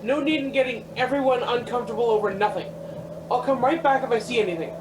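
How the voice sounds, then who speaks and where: A man's voice: very high voice, fast, over music, rough recording. A man acting in a radio play as an easygoing, bold driver with a colloquial, offhand way of talking. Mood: concerned, decisive. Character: easygoing male driver and pilot, bold, folksy.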